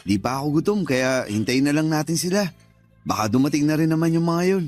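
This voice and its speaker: A man's voice: fairly high pitch, expressive, a little fast, darkish tone, soft background music, natural voice. A man with a Filipino accent playing a teasing, nosy friend in his thirties in a radio drama. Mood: relaxed. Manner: casual, prodding.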